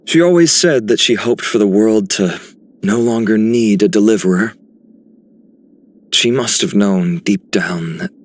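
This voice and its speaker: A lowish male voice for a resolute young game hero. He speaks steadily and sincerely, with earnest conviction. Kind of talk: video game character voice lines.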